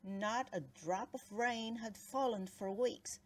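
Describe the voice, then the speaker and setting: A woman narrating a children's story aloud in an expressive, dramatic read-aloud style with deliberate phrasing. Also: a little high. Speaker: storybook reader.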